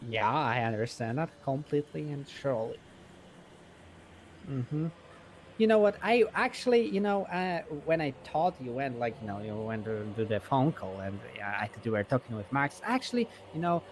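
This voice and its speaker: A man's voice: medium pitch, rough recording. Rambling man over faint background noise. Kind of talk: improvised casual chat in an online roleplay game.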